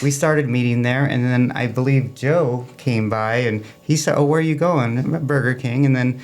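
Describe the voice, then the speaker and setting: A man's voice: medium pitch, moderate pace. A man talking casually as an interview guest for a hobby club, chatty and anecdotal in a rambling way. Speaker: amateur radio club representative, interview guest.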